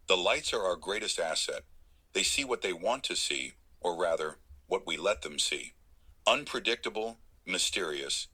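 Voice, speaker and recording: A man playing the sinister, controlling leader of a secretive clique in an audio drama, speaking in a measured, menacing way. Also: deep voice, sharp tone, husky, heavily acted. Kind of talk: scripted audio drama monologue.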